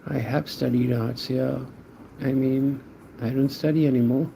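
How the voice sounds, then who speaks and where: A man's voice: medium pitch, steady tone, a little slow, creaky, dark tone, slight background noise. A man from Pakistan, chatting casually with viewers while he draws on a livestream, answering their comments in a laid-back way. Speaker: art livestreamer.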